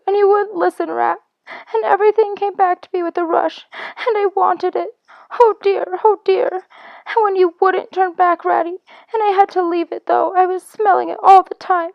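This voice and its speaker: A woman voicing a small, homesick male mole who sobs and wails in a high, broken voice. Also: creaky, no echo. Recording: dry, dull lo-fi.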